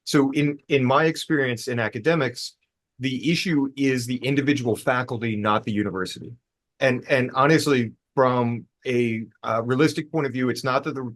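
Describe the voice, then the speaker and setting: A man on an academic panel gives frank, matter-of-fact remarks. His voice sits in a middle pitch, plain and direct. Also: fairly bright tone. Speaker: panelist, faculty member.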